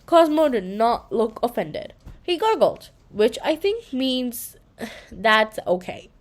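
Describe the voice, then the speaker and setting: Woman, children's storybook reader, high voice, lively intonation, expressive narration. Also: takes it slowly.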